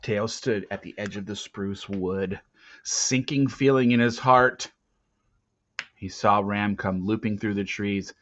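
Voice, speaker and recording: A man reading a storybook aloud as narrator, with dramatic, suspenseful swells and hushed drops. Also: fairly low pitch, slow. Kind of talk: expressive storybook read-aloud. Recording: dull lo-fi, roomy echo.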